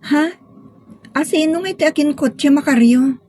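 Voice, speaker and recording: A woman's voice: sits high, normal speed, warm tone, a little hiss or hum, natural voice. A woman playing a grieving young daughter in a sentimental audio drama, speaking plaintively and hesitantly. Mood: surprised. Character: grieving young daughter pining for her lost sweetheart.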